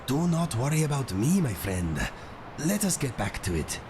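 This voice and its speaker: A man playing a loyal, gentle cat-man companion in a video game, speaking formally and troubled. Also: mid-range voice, sing-song, background noise, lightly acted. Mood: weary, reassuring. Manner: formal speech without contractions, measured. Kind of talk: video game character acting.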